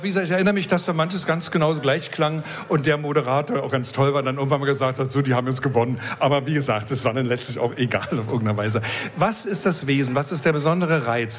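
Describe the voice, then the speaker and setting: A man with a slightly raised voice hosts a radio talk in a studio. He rambles, restarts his sentences and chuckles between questions. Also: a little high. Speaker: radio host. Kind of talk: radio interview.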